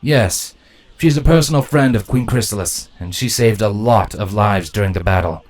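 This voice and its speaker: A man's voice: on the low side, moderate pace, darkish tone, close to an everyday voice. A man voicing a loyal, wry male creature soldier who reports plainly, in a storybook reading. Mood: matter-of-fact.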